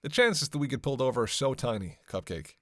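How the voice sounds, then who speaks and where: A man's voice: mid-range voice, lively intonation, fast, dry recording, clean studio sound, lightly acted. A man playing a snarky, teasing vampire captive in a roleplay audio drama, talking with sardonic, flirtatious banter. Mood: playful, bantering. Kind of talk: roleplay audio drama, one-sided dialogue.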